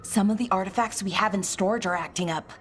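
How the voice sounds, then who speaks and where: A woman's voice: medium pitch, fast talker, soft background music, close to an everyday voice. An actress playing a friendly, eager and slightly awkward female mage scholar in a video game, chatty in manner. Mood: brisk, friendly. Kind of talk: video game cutscene acting.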